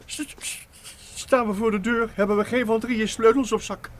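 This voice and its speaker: Guy doing a grumpy old professor, high voice, mutters and repeats himself, comedy audio play, slight background noise. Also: fairly even intonation, moderate pace, close to an everyday voice. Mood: baffled. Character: grumbling, exasperated, easily baffled older male professor. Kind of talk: comic audio drama acting in Dutch.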